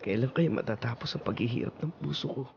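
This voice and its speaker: An actor playing a devoted suitor who speaks gently and earnestly, on a muffled radio drama recording. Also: male voice, mid-range voice, fast, gravelly, a little hiss or hum, lightly acted. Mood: tender. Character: devoted patient suitor, young adult man.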